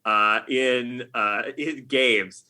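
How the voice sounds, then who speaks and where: A man's voice: mid-range voice, fairly even intonation, extremely slow, bright, forceful tone. An American man answering as an interview guest in a conversational way, often backing up and rewording his explanations as he goes. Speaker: podcast guest, video game writer.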